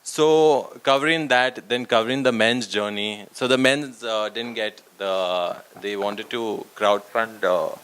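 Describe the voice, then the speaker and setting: A man's voice: on the low side, a little slow, hardly any pauses, a bit bright, clean tone, rough recording. A man, a documentary filmmaker answering interview questions conversationally. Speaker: documentary director and writer.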